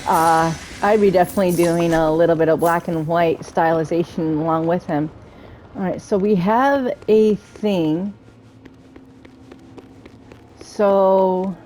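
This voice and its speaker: A woman's voice: on the low side, a little mellow, a little hiss or hum, low-quality recording. A woman giving casual gameplay commentary, musing aloud as she goes. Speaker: game streamer.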